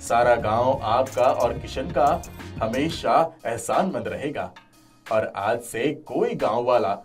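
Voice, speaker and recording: An earnest village man played by a male actor from a cartoon moral tale. He speaks politely and formally at a medium pitch, over background music. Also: lively intonation, takes it slowly. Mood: grateful. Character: earnest, respectful village man rallying his neighbours. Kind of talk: cartoon moral-story dialogue.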